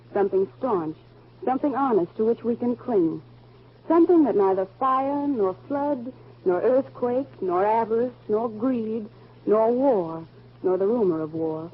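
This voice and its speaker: An actress playing an earnest grown daughter in 1940s American radio drama, with solemn, declamatory phrasing. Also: female voice, a little high, husky. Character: earnest grown daughter Claudia reading aloud for her father. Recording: muffled old 1940s radio recording.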